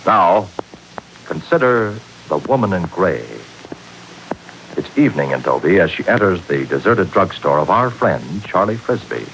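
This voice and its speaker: A male announcer narrates an old radio serial in measured, theatrical phrases. His voice is on the low side and rises and falls widely. The 1940s recording carries a faint hiss. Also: very animated, fairly bright tone, low-quality recording. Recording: hissy 1940s radio broadcast.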